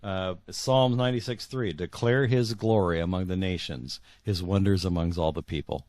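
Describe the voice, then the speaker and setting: A man's voice: deep voice, normal speed, a little mellow, dry recording. A man talking as an author guest in a casual interview, earnest and repeating words for emphasis.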